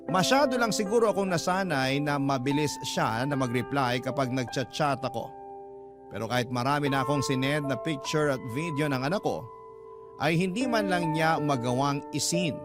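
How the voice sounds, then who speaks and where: A man narrating a scripted first-person story as a radio drama host. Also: medium pitch.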